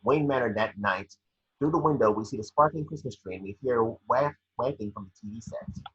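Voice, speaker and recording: A man reading scene descriptions as the narrator of a scripted table read, with a husky voice. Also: medium pitch, brisk, lo-fi sound. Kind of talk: scripted narration of screenplay action.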